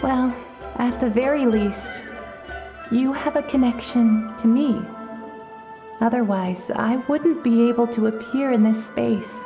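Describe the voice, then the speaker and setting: A gentle, wise shaman woman from a fantasy game, with a fairly high voice. She explains things calmly and in measured phrases while music plays prominently behind her. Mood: calm, tender. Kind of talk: video game voice acting.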